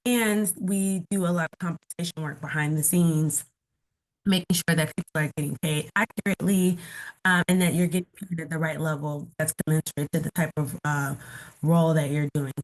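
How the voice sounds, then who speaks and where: A woman's voice: deep voice, steady tone, normal speed, low-quality recording. A woman in her thirties from Los Angeles, getting over a cold, giving a casual, explanatory webinar talk full of "like" fillers. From Los Angeles, California. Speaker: guest speaker. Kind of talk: webinar presentation.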